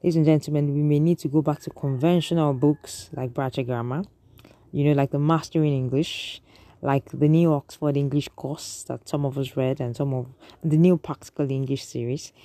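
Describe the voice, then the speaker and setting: A woman's voice: low-pitched, fairly even intonation, normal speed, dark tone, dry recording. A Nigerian woman giving an earnest, lecturing opinion monologue to an audience, often restarting her sentences.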